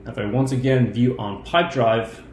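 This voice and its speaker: A man in his thirties walks through a software product step by step in an explanatory way. His voice sits at a middling pitch. It is recorded at a computer in an echoey room with faint noise behind it. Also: steady tone, moderate pace. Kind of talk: screen-share tutorial walkthrough. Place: indoor room. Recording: roomy echo, faint background noise.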